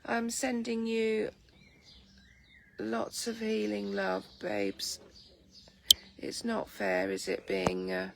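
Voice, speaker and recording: A slow-talking woman with an English accent and a very gravelly, croaky morning voice. She chats sleepily and easily to viewers on her phone. Loud birdsong fills the outdoor air around her. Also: mid-range voice, heavy background noise. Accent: English, Lincolnshire. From England. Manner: relaxed, rambling, warm-hearted chatter with many ums. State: just woken, sleepy. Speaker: vlogger greeting live viewers. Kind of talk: casual chatty morning livestream. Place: outdoors in a garden. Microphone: phone camera. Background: birdsong and light breeze.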